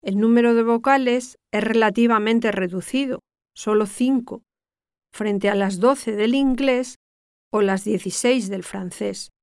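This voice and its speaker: An older woman from Spain with a Castilian accent delivers scripted educational narration in a measured, didactic way, articulating carefully. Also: on the high side, a little mellow, smooth, no echo, clean studio sound. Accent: Castilian Spanish. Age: 60s or older.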